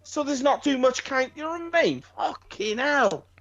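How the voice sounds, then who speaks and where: A man's voice: extremely high pitch, expressive, moderate pace, a bit bright. Guy ranting about wrestling, lots of swearing and stumbles, muffled lo-fi sound. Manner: swears freely, stammers and restarts when worked up. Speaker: podcast co-host. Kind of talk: casual wrestling chat. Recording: dull lo-fi podcast audio.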